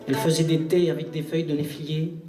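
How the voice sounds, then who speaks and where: A man recites poetry in a solemn, declamatory style, with measured phrasing and pauses. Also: fairly high pitch, flat delivery. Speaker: poet reciting. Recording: roomy, distant live recital recording. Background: plucked guitar music.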